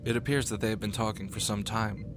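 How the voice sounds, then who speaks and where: A man's voice: fairly low pitch, steady tone, quick pace, music in the background. A man narrating a scripted action story in the present tense for an audio drama.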